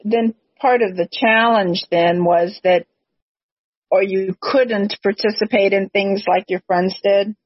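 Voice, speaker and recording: A woman with a husky voice presents as a podcast host, in the manner of prepared introductions and interview questions. The recording is dry and close, with a slightly dull, lo-fi sound. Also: medium pitch, fairly even intonation, slow pace, no room sound. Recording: dull, lo-fi, slightly muffled audio.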